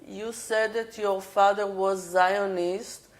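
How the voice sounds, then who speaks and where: Slow-spoken woman interviewer. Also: medium pitch, flat delivery. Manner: patient, probing questions. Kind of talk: oral history interview.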